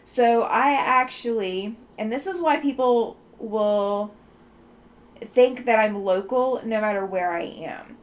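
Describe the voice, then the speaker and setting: A woman's voice: on the high side, slow pace. Chatty woman with a faint Southeastern lilt. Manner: chatty, reading out and answering viewer comments. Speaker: livestream host. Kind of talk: casual livestream chat.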